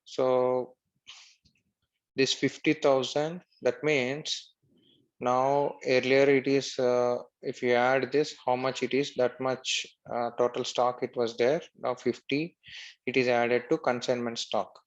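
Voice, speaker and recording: A man narrating a screen-share software tutorial step by step as an instructor. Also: medium pitch, flat delivery, slow pace, muffled recording.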